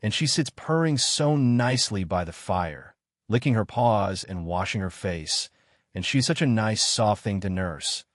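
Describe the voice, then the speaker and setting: A man's voice: lowish voice, expressive, unhurried, no room sound, clean studio sound. A man voicing a polite, eager little girl in a storybook, chatty, earnest and apologetic in manner. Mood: soothing.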